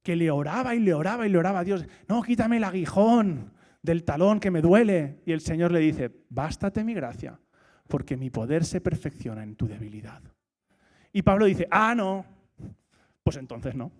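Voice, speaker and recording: High-voiced man preaching. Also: normal speed. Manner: lively, rhetorical questions, repeats key points. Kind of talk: sermon. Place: church.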